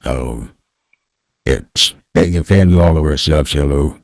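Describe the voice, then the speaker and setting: A man's voice: no room sound, clean studio sound, exaggerated voice. A man playing a rambling old backwoods Southern man on a prank call, mumbling and slurring through a voice changer. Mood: rambling, folksy. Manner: rambling, halting, repeats words. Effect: pitch-lowering voice changer. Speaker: scam baiter. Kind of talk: prank phone call in character.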